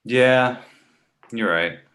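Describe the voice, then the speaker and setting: A man playing a precocious, sarcastic baby boy with a dry, mock-innocent way of needling, in an animated comedy table read. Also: mid-range voice, very slow. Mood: dry, curious. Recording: echoey room.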